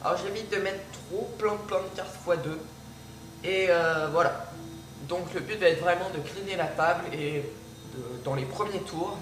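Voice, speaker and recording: A man's voice: sits high, unhurried, recorded from a distance, noisy background. A man with a cold, hesitantly talking through a card game with lots of fillers and restarts. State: has a cold. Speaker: gaming video creator. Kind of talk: casual gameplay commentary. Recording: echoey room, off-mic, music behind. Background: background music.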